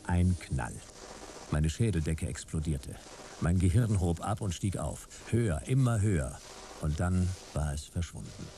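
Low-voiced sci-fi private eye, radio play narration, clipped dramatic fragments, animated, noisy background. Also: male voice, a little slow. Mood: dramatic, tense. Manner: terse, dramatic, wry storytelling in short fragments. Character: hard-boiled male sci-fi private detective narrating his adventures.

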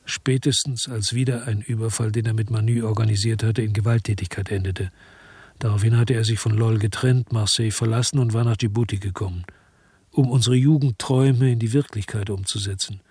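Very husky, world-weary policeman narrator. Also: on the low side, steady tone, fairly quick, darkish tone, no echo. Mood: brooding regret. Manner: brooding, understated. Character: disillusioned Marseille policeman narrating, weary, guilt-ridden, laconic. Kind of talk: radio drama first-person narration and dialogue.

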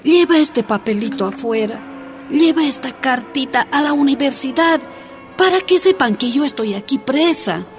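A woman's voice: high-pitched, lively intonation, normal speed, music in the background. Brief: miner's mother in jail, radio drama, raised voice. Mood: pleading. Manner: pleading then defiant, emotional. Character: defiant jailed miner's wife, young mother. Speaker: actress.

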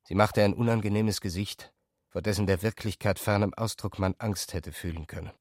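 Low-voiced man narrating a radio play. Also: fairly even intonation, normal speed, darkish tone, dry recording, clean studio sound.

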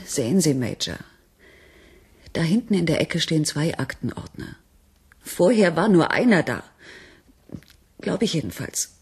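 An actress playing a level-headed but worried female project leader in a radio drama, husky-voiced, measured and firm. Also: low-pitched, big pitch swings, exaggerated voice. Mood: anxious. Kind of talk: German science fiction radio drama acting.

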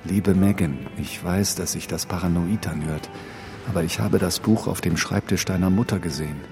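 Radio play casting: urgent, paranoid father reading his letter, deep register, music underneath. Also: moderate pace. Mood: grave, warning. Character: middle-aged father, grave paranoid letter writer. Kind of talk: letter read in radio drama.

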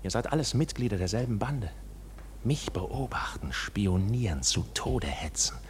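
A man's voice: on the low side, very animated, measured pace, a little hiss or hum. A man in a German radio play acting a proud, defiant accused bank officer in a theatrical, indignant manner. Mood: bitter, accusing.

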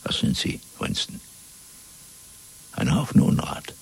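A man playing a cold, fanatical Party interrogator in a radio drama, speaking in a measured, domineering, rhetorical way. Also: lightly acted, poor audio. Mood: cold, cruel. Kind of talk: German radio drama acting.

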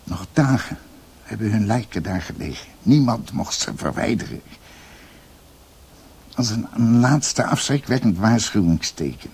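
An actor in a radio drama playing a grave older doctor who tells a dark family history in a halting, somber way. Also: male voice, fairly low pitch, animated, husky, heavily acted.

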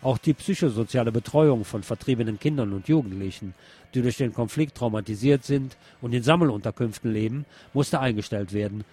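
Man, news presenter, husky voice, mid-pitched, scripted commentary. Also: a little fast, mellow.

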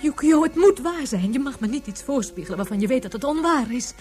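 An actress in a Dutch radio drama playing an anguished, tender mother of a missing baby, speaking in an emotional, pleading, soft-toned way. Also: sits high, expressive, brisk, faint music behind.

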